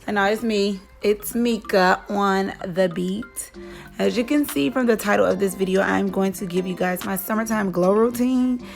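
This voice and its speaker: Young woman beauty vlogger, casual rambling chat, a bit hoarse, faint music underneath. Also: medium pitch. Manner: chatty, rambling, many fillers. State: slightly hoarse, losing her voice. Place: vacation lodging, indoors.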